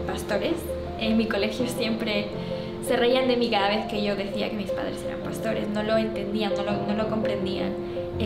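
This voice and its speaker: A young Peruvian woman with a Spanish accent giving a personal testimony, halting with many fillers and restarts. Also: medium pitch. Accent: Spain. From Peru. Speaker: youth group speaker. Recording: echoey, distant mic, loud music behind.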